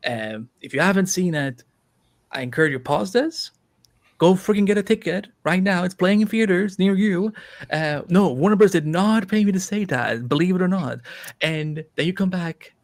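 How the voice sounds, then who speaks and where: A man's voice: high-pitched, expressive, normal speed, dry recording, clean studio sound. A man in his early thirties hosting a live podcast review, loose and chatty, full of fillers. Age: 30s. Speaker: podcast host. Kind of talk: casual live-stream chat.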